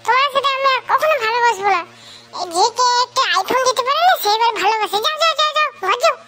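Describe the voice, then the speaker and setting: A woman playing a bossy young woman in a comedy cartoon, with a childlike squeaky voice, an exaggerated scolding manner. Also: animated, fast talker, bright, forceful tone, no room sound, heavily acted. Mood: angry, scolding. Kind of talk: Bangla comedy cartoon dubbing. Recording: faint background noise.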